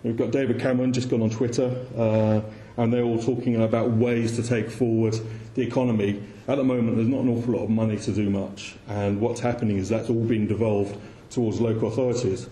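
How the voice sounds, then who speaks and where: Mellow-voiced man presenting in an echoey room. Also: fairly low pitch, level tone, brisk. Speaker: conference speaker. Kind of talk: informal presentation to an audience. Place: indoor room with audience. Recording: echoey room with faint background noise.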